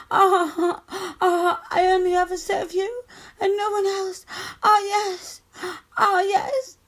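A very high, slow female voice performed by an actress plays an obedient, pleading adult lover in erotic audio roleplay. She speaks breathily, sliding between moans and begging. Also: a bit bright, heavily acted. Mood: ecstatic moaning.

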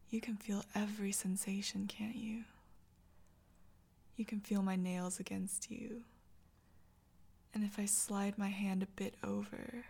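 Woman doing an intense soft girlfriend, close whispery voice w a gravelly edge, stammers when flustered. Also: mid-range voice, full character voice. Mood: teasing whisper. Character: intense, tender young girlfriend. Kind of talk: whispered audio roleplay.

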